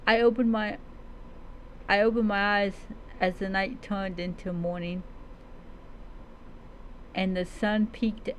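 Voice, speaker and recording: A woman narrates a dramatic fantasy roleplay story at a slow pace. Her voice sits at a medium pitch, and she halts and repeats phrases. Faint noise runs behind the recording. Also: little pitch movement, rough recording.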